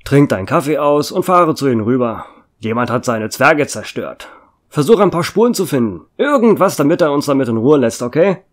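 A man's voice: medium pitch, expressive, brisk, close to an everyday voice. A man playing a weary, sardonic small-town lawman with a dry, sarcastic manner in an audio drama. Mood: businesslike.

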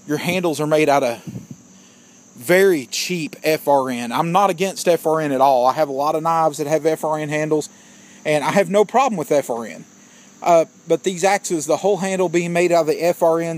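A man with a fairly high-pitched voice talks through an outdoor gear review in a casual, plainspoken way. He is opinionated and keeps addressing his viewers as guys. Crickets chirp behind him. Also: darkish tone. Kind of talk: casual product review vlog.

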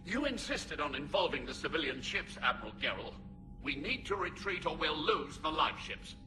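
An actor voicing a male alien admiral who speaks in a sharp, reproachful way. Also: on the high side, sing-song, moderate pace, over music.

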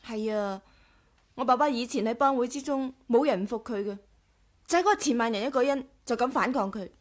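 A woman with a Hong Kong Cantonese accent playing a sharp, cautious adventuress in a 1980s radio drama. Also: a little high, brisk, natural voice. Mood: wary.

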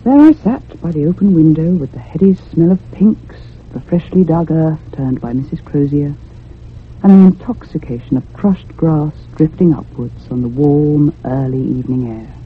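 An actress playing an anxious, curious woman in a radio drama, speaking in a measured, literary and expressive way. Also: low-pitched, slight background noise. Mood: reflective. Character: anxious, curious convalescent unmarried woman narrating a haunting. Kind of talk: radio drama acting and narration. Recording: muffled, phone-like old radio sound.

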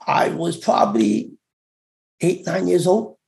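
A middle-aged man with a Hong Kong-tinged accent, telling his story haltingly with many fillers as an interview guest over a video call. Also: sits high, expressive, vocal fry, a little mellow. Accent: Hong Kong-influenced. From United States. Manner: halting, many fillers and restarts, gestures while talking. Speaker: interview guest, former gang member. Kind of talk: casual storytelling. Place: room indoors. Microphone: video call webcam.

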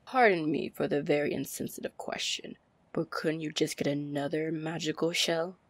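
Woman acting a low, husky pirate captain. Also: steady tone, no echo. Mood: wry, probing. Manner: slow, deliberate, smooth-talking. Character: confident, teasing female pirate captain, commanding and flirty. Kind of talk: ASMR roleplay acting, fantasy audio drama.

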